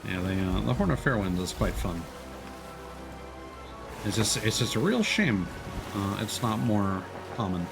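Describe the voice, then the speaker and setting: Low-voiced man explaining a game. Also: expressive, unhurried, gravelly, pauses often, over music. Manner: explaining step by step with many fillers. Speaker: streamer guiding a co-player. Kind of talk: casual game chat. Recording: noisy stream audio.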